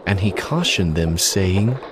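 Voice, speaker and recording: A man's voice: sits low, expressive, slow pace, slight background noise. A man giving scripted, dramatic narration of scripture for an audio drama. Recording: music behind the narration.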